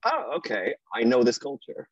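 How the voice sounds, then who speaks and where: A man's voice: mid-range voice, huge pitch swings, normal speed, no echo, dull audio. A man from Michigan, a guest on a podcast, chatting casually and wryly with playful jokey asides. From Michigan, USA. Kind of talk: casual interview chat.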